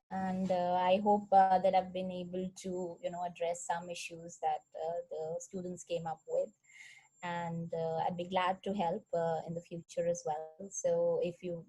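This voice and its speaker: A woman speaking as a guest psychologist, explaining things in measured, hesitant phrases on an online call. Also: on the low side, dark tone, husky, low-quality recording. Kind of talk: explaining in an online question session. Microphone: online group call.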